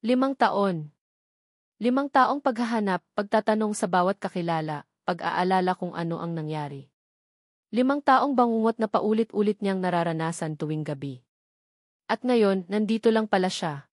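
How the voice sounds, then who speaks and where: A woman's voice: mid-range voice, animated, normal speed, no echo, studio quality. Filipino woman narrating a dramatic story. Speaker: audiobook narrator.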